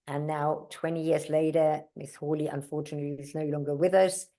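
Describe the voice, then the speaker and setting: A woman's voice: low-pitched, little pitch movement, a little fast, warm tone. A middle-aged woman whose first language is German, telling stories in a casual interview with many fillers and asides. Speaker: mountaineer and guest.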